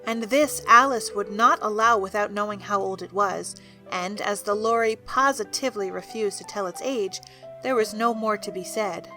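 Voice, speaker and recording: A woman's voice: mid-range voice, moderate pace, sharp tone, faint music behind, poor audio. A woman narrator reading a scripted children's story for an audio drama.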